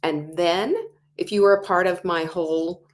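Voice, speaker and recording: A woman in her sixties talks straight to her listeners as the host of a motivational show. Her voice sits a little low, and she brings her points to life by acting out people's doubts in their own voices. Now and then she drops to a whisper for emphasis. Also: moderate pace. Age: 60s. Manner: animated, acts out quoted inner voices, drops to whispers. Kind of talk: motivational talk show monologue.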